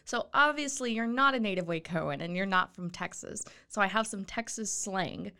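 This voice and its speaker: Female host for a local interview show, medium pitch, casual and conversational. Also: normal speed, sharp tone, clean tone.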